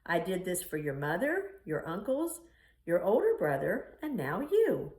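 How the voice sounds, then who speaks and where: A woman's voice: on the low side, wildly swooping pitch, a little slow, darkish tone. A woman voicing a tender, ceremonial old grandfather who coos, in a children's storybook. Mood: tender, proud. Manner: cooing. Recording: roomy, echoing room sound.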